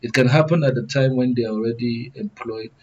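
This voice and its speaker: A man with a Nigerian accent giving serious panel commentary in a deliberate, emphatic, oratorical way. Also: medium pitch, darkish tone, husky, muffled recording. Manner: deliberate, emphatic, oratorical, repeats phrases for weight. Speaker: guest commentator, good governance advocate.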